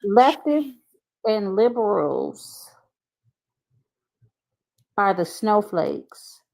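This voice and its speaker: A woman's voice: mid-range voice, sing-song, very slow, gravelly, a little mellow, low-quality recording. A woman co-hosting a casual livestream, bantering with opinions. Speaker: livestream co-host.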